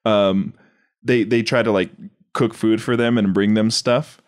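A man's voice: lowish voice, steady tone, a little slow. Man thinking aloud on comedy podcast. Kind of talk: casual improvised brainstorming.

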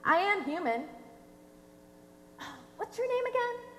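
Officious, obstructive female clerk played by a young woman for stage comedy, high swooping voice, deliberate and smug, noisy hall recording. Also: very animated, heavily acted. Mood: condescending. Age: teenager or young adult. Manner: pedantic, drawn-out deliberate delivery. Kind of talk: comic stage play acting. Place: theatre stage, recorded from a distance.

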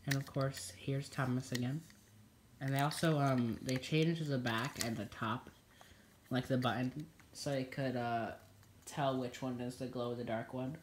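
A man's voice: medium pitch, steady tone, a little slow, slight background noise. Stuffy-nosed young man rambling through an unboxing. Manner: chatty, rambling, many fillers. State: stuffy nose. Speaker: toy unboxing vlogger. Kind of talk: casual unboxing commentary. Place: indoors at a table in a home room.

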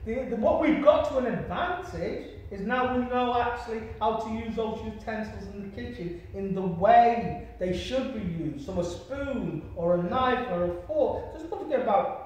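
A man's voice: high voice, animated, moderate pace, darkish tone. A middle-aged man, a martial artist giving an interview. He talks earnestly and circles back to his points, often checking in with "right?" and "you understand me?". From Britain. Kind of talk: reflective conversational storytelling. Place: small room, sitting on a sofa. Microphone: distant camera mic. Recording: very echoey room, mic far off.

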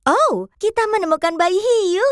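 A woman's voice: very high voice, lively intonation, measured pace, background noise. A woman narrating a children's cartoon in a sing-song manner, speaking to young viewers.

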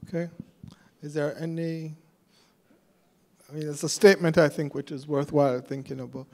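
A man moderating informally at a live event, halting and apologetic in his phrasing. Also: on the high side, little pitch movement, a little mellow. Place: theatre stage.

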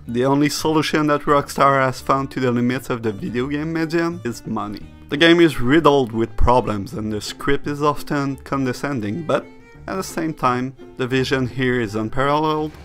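A man's voice: medium pitch, moderate pace, a bit bright. Male narrator for a scripted games essay; mid-range voice over a music bed. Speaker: narrator reading a video essay.

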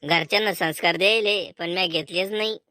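A woman's voice: on the low side, a little fast, bright, forceful tone. Cheeky young village photographer lad acted by a woman. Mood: sarcastic. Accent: rural Maharashtrian. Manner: bossy, sarcastic, teasing. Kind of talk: cartoon comedy dialogue.

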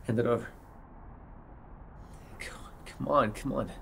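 Man acting a gravelly, anxious young vampire. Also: medium pitch, fairly even intonation, fairly bright tone, slight background noise. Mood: exhausted, urgent. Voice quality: often dropping into a breathy whisper. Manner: halting, stammering, breathy sighs and frequent swearing. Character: panicked, exhausted newly turned male vampire, pleading. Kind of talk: ASMR audio roleplay acting.

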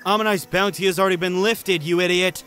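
A man acting a cocky young male sorcerer in an amateur manga dub, emphatic and taunting, quick to size up his foe. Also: high voice, steady tone, in a large hall, close to an everyday voice. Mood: agitated.

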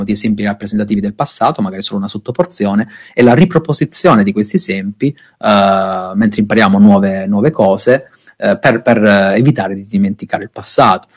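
A young man with a fairly low voice gives a technical lesson over a video call. He explains in a loose, easygoing way, with many fillers and restarts. The sound is the plain sound of a home video call. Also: a little mellow, slightly rough. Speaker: speaker. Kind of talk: online tech talk.

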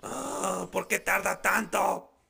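A man with a Latin American accent voicing a mouthy, sarcastic animatronic clown in an animated fandub. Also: high-pitched, takes it slowly, gravelly, husky, heavily acted. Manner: sarcastic, taunting. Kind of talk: amateur animated fandub acting. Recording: loud music under the voice.

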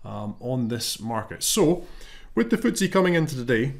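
A man in his thirties with a slight cold, explaining a trade in a relaxed, thinking-aloud way from his home office webcam. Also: mid-range voice, moderate pace, vocal fry. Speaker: trading educator. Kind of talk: casual explanatory live-trade walkthrough.

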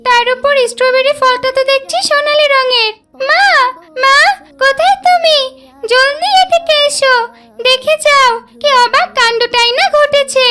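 A woman voicing an excitable, wide-eyed little girl in a children's cartoon. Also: very high voice, measured pace, heavily acted. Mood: amazed. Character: excitable, pious little village girl Maisha.

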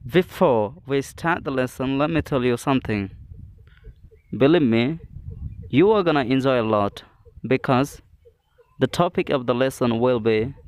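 A male teacher delivers a scripted lesson at a slow pace, setting out each phrase deliberately. His voice is clean and smooth at a medium pitch, recorded dry and close. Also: no echo. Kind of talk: scripted English lesson, reading example phrases.

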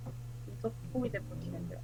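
Young woman gamer, noisy recording. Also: measured pace. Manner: playful, exclamatory. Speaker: gamer playing co-op with friends. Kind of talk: casual gaming chat. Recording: clearly noisy background.

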